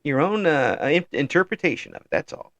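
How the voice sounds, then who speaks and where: An older man hosting an interview in an easygoing chat, often pausing with ums and restarting his sentences. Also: on the high side, big pitch swings, a bit bright, dry recording. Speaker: interview host.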